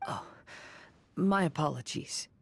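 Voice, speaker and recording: A woman voicing a calm, courteous and thoughtful young adventurer in a video game, polite and measured. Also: sits low, creaky, breathy edge, no echo, full character voice.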